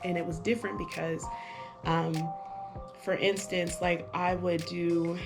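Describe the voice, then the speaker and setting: A woman's voice: lowish voice, flat delivery, measured pace, vocal fry. A woman vlogger reviewing an app in casual talk to camera, chatty and hesitant, with lots of fillers and restarts. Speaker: language-learning vlogger. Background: music.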